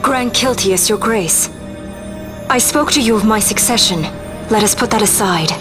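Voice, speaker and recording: An actress playing a proud, determined young princess in a fantasy game, speaking formally and earnestly. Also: female voice, medium pitch, moderate pace, music in the background, lightly acted. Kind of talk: dramatic video game voice acting.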